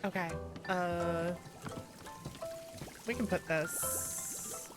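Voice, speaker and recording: A woman's voice: on the low side, steady tone, very slow. A woman streaming a video game, chatting playfully and laughing often. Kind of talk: casual let's-play commentary. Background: game music.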